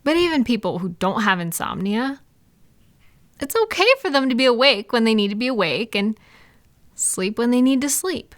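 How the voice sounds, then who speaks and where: A woman playing a supportive, gentle female friend in an intimate, casual comfort roleplay, speaking in a reassuring, validating way. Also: a little high, animated, moderate pace, dry recording, lightly acted. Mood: reassuring, affectionate. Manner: reassuring, validating, softly indignant on listener's behalf. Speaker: audio roleplay performer.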